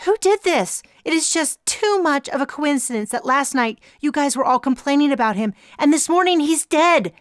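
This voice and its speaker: A woman doing a shrill, panicky young woman. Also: expressive, normal speed, few pauses, no room sound, studio quality. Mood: hysterical. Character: hysterical young woman, high and tense.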